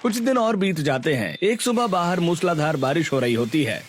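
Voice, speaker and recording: A man's voice: fairly high pitch, lively intonation, moderate pace. A man giving scripted narration for a family story in storybook style.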